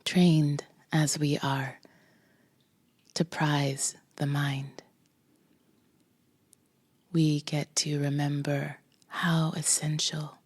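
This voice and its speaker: A woman leading a guided meditation with a soft, breathy voice, gently instructing in short phrases with pauses between them. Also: sits low, level tone, no room sound. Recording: music behind the voice. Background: music.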